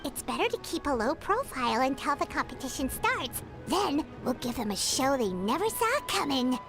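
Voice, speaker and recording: A tiny game fairy with a squeaky, very high childlike voice. She is bold, excitable and suspicious, and her pitch leaps wildly from phrase to phrase over loud music. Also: normal speed. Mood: scheming. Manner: speaks of self in third person, exclamatory. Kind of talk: animated video game voice acting.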